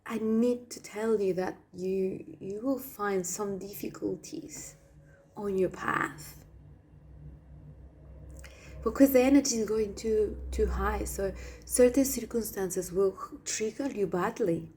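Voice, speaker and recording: A woman from Argentina with an Argentine Spanish accent, a Spanish native speaker giving a spiritual message to viewers, speaking softly and dropping into whispers on some words. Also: medium pitch, slight background noise. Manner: slow, soft, drops to whispers on some words. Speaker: spiritual channel.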